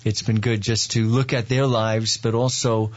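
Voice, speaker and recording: Low-voiced New York priest, halting. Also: male voice, level tone, muffled recording. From Long Island, New York. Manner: halting, many "um" and "uh" pauses. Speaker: priest, guest. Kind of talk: interview, relaxed storytelling.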